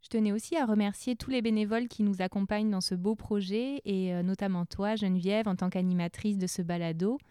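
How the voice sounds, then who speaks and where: A woman from the podcast team speaking in a halting way with many fillers. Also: medium pitch, steady tone, warm tone, clear, resonant tone, no room sound, clean studio sound. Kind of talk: casual podcast chat.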